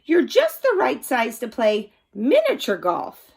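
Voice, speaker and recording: Woman voicing a patient, animated frog dad. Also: a little high, measured pace, creaky, husky, heavily acted. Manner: patient, instructing. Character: patient, teasing adult male frog father.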